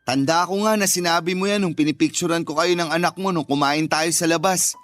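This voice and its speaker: Gentle schoolteacher younger brother, played by a male actor: high male voice, earnest, affectionate, light music bed. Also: fairly quick, close to an everyday voice. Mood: fond. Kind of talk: sentimental radio drama dialogue.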